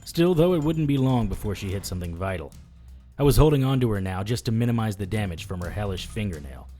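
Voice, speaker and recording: An actor playing a battered, wry private investigator, narrating in the first person in an audio drama. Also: male voice, fairly low pitch, lively intonation, quick pace, mellow, faint music behind. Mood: tense, dry. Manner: dry, hard-boiled.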